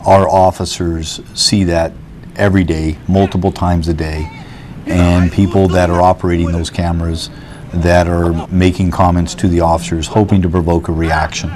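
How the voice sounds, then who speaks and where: A man's voice: sits low, little pitch movement, normal speed, darkish tone, slight background noise. A man with an American accent speaking as a police chief, giving an official statement to the press.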